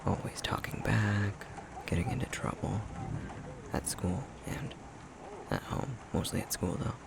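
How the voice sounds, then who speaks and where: A man playing a tender, self-conscious young fox-shifter boyfriend in an intimate ASMR roleplay, speaking in a soft, breathy, halting murmur. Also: on the low side, fairly even intonation, heavy background noise. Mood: wry, reminiscing. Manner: unhurried, halting, self-deprecating chuckly storytelling.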